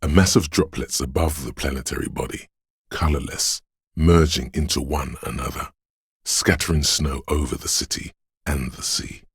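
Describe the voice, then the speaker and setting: A man voicing a brooding, omniscient inner voice of a city in a video game, narrating gravely and poetically in a measured, husky, gravelly voice. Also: very deep voice, fairly even intonation, dry recording, studio quality, heavily acted. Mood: brooding, solemn. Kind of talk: slow literary second-person game narration.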